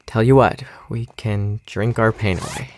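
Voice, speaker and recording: A young man playing a weary, anxious but caring survivor in an audio drama, with a gravelly creak in his voice. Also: fairly low pitch, unhurried, darkish tone, slight background noise. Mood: wry. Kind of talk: naturalistic audio drama acting.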